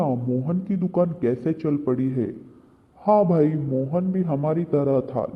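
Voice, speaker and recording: A man's voice: on the high side, lightly acted. A man playing poor, hopeful, friendly villagers in a cartoon moral story. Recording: thin phone-like sound with long reverb.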